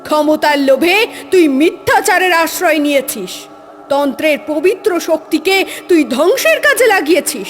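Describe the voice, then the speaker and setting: Woman as a high-voiced commanding mother goddess. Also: animated, normal speed, soft background music. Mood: wrathful, cursing. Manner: solemn, commanding, declamatory. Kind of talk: devotional horror cartoon voice acting.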